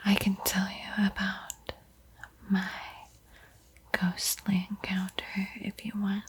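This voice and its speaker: A woman giving an ASMR art tutorial in a breathy whisper, with a soft, unhurried, playfully eerie and teasing manner. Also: mid-range voice, completely flat delivery. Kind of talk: whispered ASMR painting tutorial with spooky roleplay.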